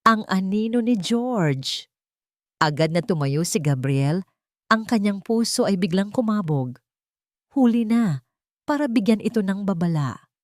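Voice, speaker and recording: A woman's voice: mid-range voice, no room sound, clean studio sound. A woman reading a scripted dramatic story as its narrator.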